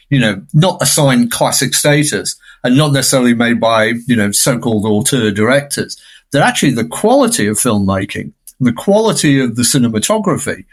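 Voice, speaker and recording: A man speaks as a guest commentator on film. His voice sits at a middle pitch. He is conversational and discursive, stressing his points as he goes.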